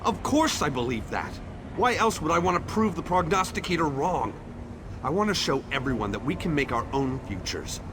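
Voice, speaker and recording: A man's voice: on the high side, big pitch swings, normal speed, background noise. A man voicing a bitter, defiant younger man in a fantasy game, blunt and scornful in manner. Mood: impassioned. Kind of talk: AI-voiced fantasy game dialogue.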